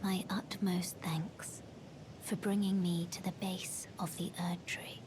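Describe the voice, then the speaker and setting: A woman playing a gentle, mysterious maiden guide in a fantasy game, with a soft, breathy voice and formal, gracious speech. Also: flat delivery, slow, whispered, rough recording. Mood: calm, solemn farewell. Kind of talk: restrained fantasy game acting. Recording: rumbling background noise.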